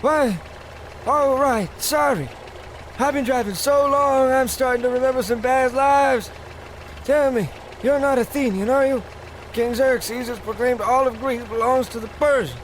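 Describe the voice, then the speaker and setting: Actor as addled bus driver, high voice, spacey, rambling, distracted, comic radio play, faint engine hum. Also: unhurried, poor audio. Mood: dazed, confused.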